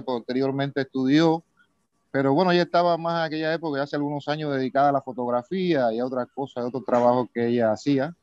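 Polite Cuban singer, dry dull line. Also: male voice, fairly high pitch, a little mellow, dry recording. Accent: Cuban Spanish. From eastern Cuba. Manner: polite, reflective, unhurried. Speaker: singer, guest. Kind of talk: casual interview.

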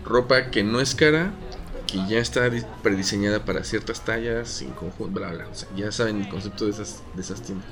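A man's voice: fairly low pitch, a little fast, over music. A man with a Mexican accent co-hosting a casual podcast chat, slangy and joking, rambling with restarts. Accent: Mexican Spanish. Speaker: podcast co-host.

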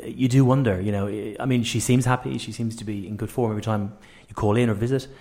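A man's voice: mid-range voice, fairly even intonation, fast talker, warm tone. Effusive, rambling male radio host. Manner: effusive, rambling, repeats phrases. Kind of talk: casual conversational interviewing.

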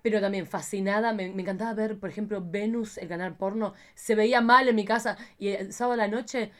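An Argentine woman hosts a casual podcast chat at a rapid clip. Her voice sits at an average pitch for a woman, and her delivery is playful, camp and full of slang. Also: fairly even intonation. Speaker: podcast host.

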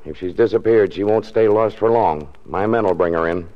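A man's voice: deep voice, brisk, natural voice. An American actor playing a confident, businesslike lawman in a 1940s radio drama. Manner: businesslike, assured. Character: brisk, confident male lawman. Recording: muffled 1940s radio recording.

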